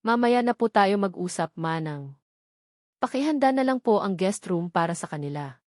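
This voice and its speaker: A woman with a Filipino accent voicing a calm, soft-spoken young male politician in a story. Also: mid-range voice, moderate pace, no echo, clean studio sound, natural voice. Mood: calm, gentle. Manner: quiet, polite, composed.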